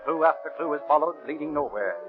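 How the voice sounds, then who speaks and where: An American actor playing an urgent narrator of a 1930s police radio drama, with a clipped bulletin delivery. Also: male voice, a little high, animated, quick pace, lightly acted. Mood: urgent, dramatic. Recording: tinny 1930s radio recording with music.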